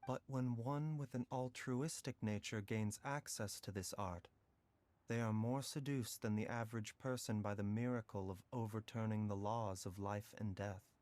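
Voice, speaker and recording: A man playing a gentle, philosophical young doctor in a video game, with measured, articulate and reflective delivery. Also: on the low side, fairly even intonation, no room sound, close to an everyday voice. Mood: solemn, reflective. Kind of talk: scripted video game voice acting. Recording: music playing behind the voice.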